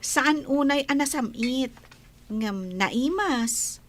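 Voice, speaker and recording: A sweet young village woman, acted by a woman speaks in a high voice at an unhurried pace. She is polite and gentle, in the manner of amateur radio drama. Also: animated, full character voice. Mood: friendly.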